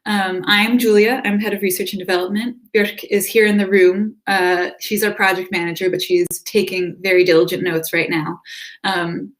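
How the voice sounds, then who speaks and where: A woman in her thirties presents slides over a video call. She speaks in a friendly, clear way at a mid pitch. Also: flat delivery, normal speed. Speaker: soil scientist guest.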